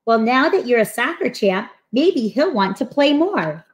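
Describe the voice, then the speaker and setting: Storybook schoolkids, voiced by a woman: eager, friendly, earnest, higher pitched, swinging intonation. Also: unhurried. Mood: grateful, earnest. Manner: expressive, earnest. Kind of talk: animated storybook read-aloud.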